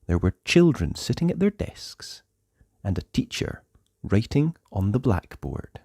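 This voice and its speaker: A man narrating a children's storybook aloud in a playful way, with dramatic pauses and emphasis. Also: fairly low pitch, sing-song, mellow, no echo, studio quality.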